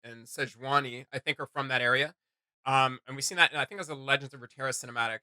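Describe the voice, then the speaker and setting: A man's voice: medium pitch, fairly even intonation, quick pace, sharp tone, no room sound. A man co-hosting a reaction show, giving casual, enthusiastic and rambling commentary in an informal way.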